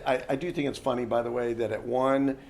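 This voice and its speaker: A middle-aged man from the United States hosting a casual interview, animated and jokey, often restarting his sentences. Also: mid-range voice, a little fast. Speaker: host.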